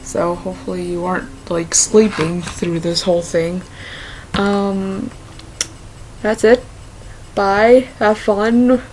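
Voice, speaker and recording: A woman with a mixed Minnesota and Southern accent who answers quiz questions in a casual vlog and talks haltingly with many fillers. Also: medium pitch, level tone, darkish tone, slight background noise, poor audio. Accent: mixed Minnesota and Southern American. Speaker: vlogger.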